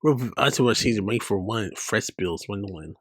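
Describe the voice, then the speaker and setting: A man's voice: lowish voice, expressive, a little fast, dry recording. A man performing dialogue from a dramatic story.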